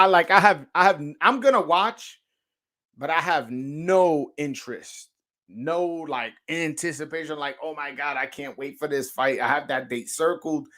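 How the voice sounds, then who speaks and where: A man's voice: a little high, sharp tone. Slangy New York guy on a livestream. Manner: slangy, profane, lots of stammered restarts. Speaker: boxing livestream host. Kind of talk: casual livestream chat, reacting to viewer comments.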